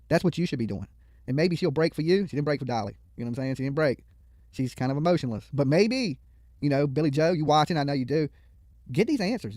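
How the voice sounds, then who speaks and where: A man's voice: a little high, darkish tone, dry recording, studio quality. A man hosting a livestream with casual, blunt, opinionated commentary and plenty of colloquial swearing. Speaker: YouTube livestream host. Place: studio.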